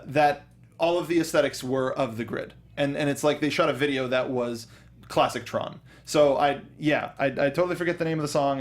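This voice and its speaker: A man co-hosting a casual movie review show, talking enthusiastically and rambling with fillers. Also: a little high. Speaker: podcast co-host.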